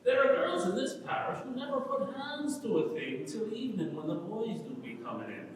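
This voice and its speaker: Man playing wordy, high-voiced young Irish countryman. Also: big pitch swings, a little fast. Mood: courteous, flattering. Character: talkative, earnest young Irish countryman, proud of his father. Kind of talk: dramatic stage reading of a rural comedy. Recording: echoey hall from far off, faint noise.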